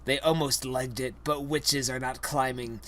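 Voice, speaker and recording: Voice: medium pitch, steady tone, unhurried, a bit bright. A young adult with a mid-range voice reads a silly parody story aloud. The narration is theatrical and exaggerated, played for comedy. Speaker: reader.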